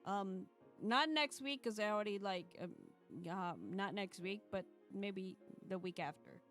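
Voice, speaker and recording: A woman's voice: mid-range voice, expressive, measured pace, a bit bright, soft background music. A woman hosting a livestream, chatting casually in a rambling, hesitant, jokey way. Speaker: livestream host.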